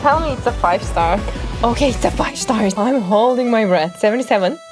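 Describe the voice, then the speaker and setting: A young woman giving casual, playful and excitable commentary as she streams a video game. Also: on the high side, brisk. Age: young adult. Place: small indoor home room. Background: game music.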